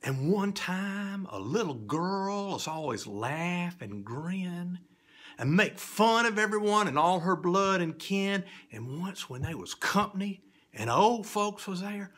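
A middle-aged man reciting a children's poem like a spooky storyteller, swinging between hushed whispers and loud cries. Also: high-pitched, lively intonation, slow. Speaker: storyteller reading children's poetry. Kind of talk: dramatic theatrical poetry recitation for children.

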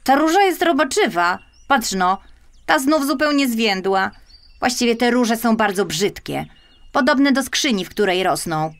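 Woman acting a scornful, high-pitched little boy. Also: expressive, unhurried, exaggerated voice. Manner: sharp, mocking. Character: small boy turned rude and scornful by magic.

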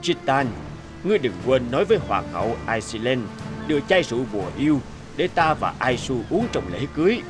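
A man's voice: mid-range voice, very animated, a little fast, over music, lightly acted. A man playing a decisive, stately king in a cartoon fairy tale, formal and authoritative. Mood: stately, commanding. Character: commanding middle-aged king, stately and decisive.

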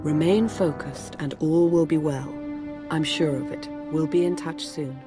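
An actress voicing a composed female intelligence agent in a video game, measured and poised. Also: deep voice, fairly even intonation. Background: game music.

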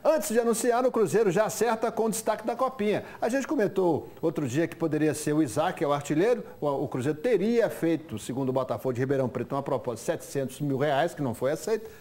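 Middle-aged male TV sports host, high voice, lively conversational delivery, studio sound. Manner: lively, conversational, quick asides. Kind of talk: studio sports chat and live ad read.

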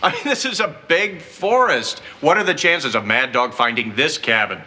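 A man's voice: a little high, animated, sharp tone. An actor playing a nervous, fussy man in a sitcom, panicky and stammering. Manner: panicky, stammering, fast bursts. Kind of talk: sitcom acting. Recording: noisy TV soundtrack.

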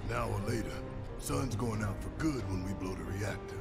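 Gravelly, brash big fighter. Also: male voice, lowish voice, lively intonation, normal speed, loud music behind the voice. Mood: determined. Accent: American. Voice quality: gruff, gravelly. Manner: brash, theatrical, slangy. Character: big gruff hot-headed male resistance fighter. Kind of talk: video game voice acting.

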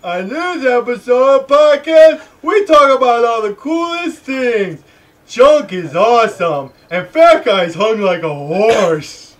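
A man's voice: very high-pitched, very animated, takes it slowly. A young man co-hosting a podcast, doing over-cheery mock promo hype in a home living room. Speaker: podcast co-host.

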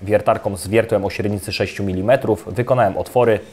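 Young guy narrating a how-to install, low steady voice, soft guitar underneath. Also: fast. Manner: calm, even, step-by-step instructional delivery. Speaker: electrician presenting a how-to video. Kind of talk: scripted voice-over narration. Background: soft guitar music.

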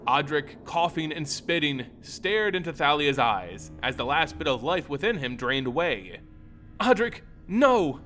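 Male storyteller for scripted fantasy. Expressive, dramatic, a little higher-voiced, lively intonation. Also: normal speed, few pauses, soft background music. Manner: theatrical, expressive.

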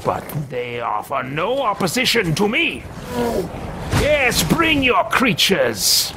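A man's voice: sits high, huge pitch swings, slow pace, background noise, voice pushed up, full character voice. A man playing a pompous, arrogant mage. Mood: haughty. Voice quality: pompous, grandiose. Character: arrogant, self-absorbed male mage adventurer. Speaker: let's play host roleplaying a character. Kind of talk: in-character roleplay over a video game. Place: room at home, indoors.

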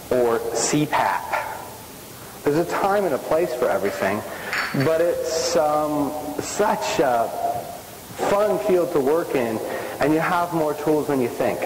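A loud, middle-aged man speaks at a conference, chatty and energetic, pausing to quiz the room. His voice carries a long reverb through a big hotel ballroom, with a faint hiss under the sound. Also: medium pitch. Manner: energetic, conversational, asks the audience questions. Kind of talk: conference lecture. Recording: echoey hall with faint hiss.

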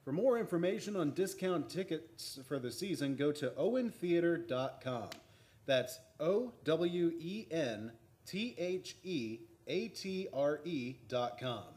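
High male voice, animated intonation, theatrical, scripted radio promo banter. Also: takes it slowly.

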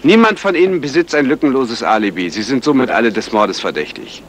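A man's voice: mid-range voice, animated, brisk, close to an everyday voice. A man playing a stern, self-important police sergeant in a 1970s radio crime drama. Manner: formal, pompous. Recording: noisy 1970s radio play recording.

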